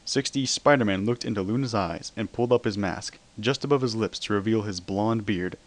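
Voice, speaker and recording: A man with a low voice reads comic fan fiction aloud as its narrator. The style is that of a dramatic story reading. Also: fairly quick.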